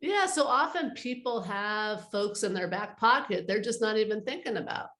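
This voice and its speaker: A woman's voice: medium pitch, animated, moderate pace, a bit bright, reverberant. A woman speaking conversationally as an advisor being interviewed, explaining her work and giving practical advice. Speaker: interview guest, art advisor.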